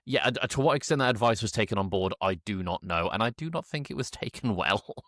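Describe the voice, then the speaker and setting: A man's voice: lowish voice, lively intonation, fast, a bit bright, no echo, clean studio sound. A British man chatting casually as a podcast co-host, wry and blunt, hedging as he goes. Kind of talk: casual chat.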